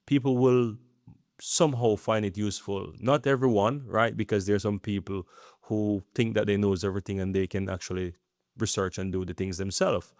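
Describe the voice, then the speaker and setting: A man's voice: on the low side, fairly even intonation, moderate pace, a little mellow, dry recording, clean studio sound. A man in his thirties talking earnestly in a rambling vlog, often checking in with "right?". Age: 30s. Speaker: YouTube creator. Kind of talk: casual talking-head vlog.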